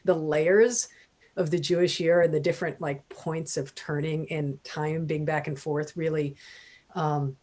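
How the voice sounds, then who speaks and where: A woman author talking thoughtfully about a book. She hesitates often and pauses with fillers. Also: low-pitched, fairly even intonation, a little mellow. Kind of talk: casual literary conversation.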